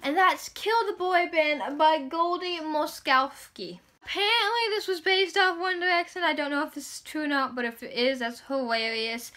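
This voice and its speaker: High, bright young woman, gushing fangirl. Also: moderate pace, hardly any pauses. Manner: gushing, emphatic fangirl delivery. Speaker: book reviewer vlogger. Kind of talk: casual book chat to camera.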